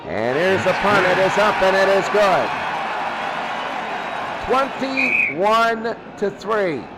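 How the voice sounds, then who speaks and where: A high-voiced man works as a football play-by-play announcer on radio. He delivers plays and statistics at a slow, deliberate pace. Clear noise runs behind his voice. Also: animated, a loud crowd. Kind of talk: live football commentary and stat recaps.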